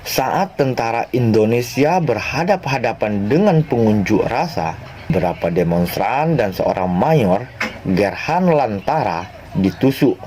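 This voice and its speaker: A man narrates a history documentary from a script, his mellow voice rising and falling in a lively way. He keeps a measured delivery and slows down on names and dates. Faint noise sits under the voice. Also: medium pitch.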